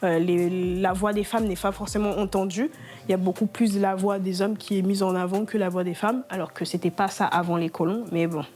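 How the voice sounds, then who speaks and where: A young woman from Congo, speaking a language that is not her first, as the guest in a casual conversational interview, lively and full of fillers. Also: medium pitch, brisk. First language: not French. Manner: animated, talks with a rush of fillers and laughs often. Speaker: interview guest, entrepreneur and therapist.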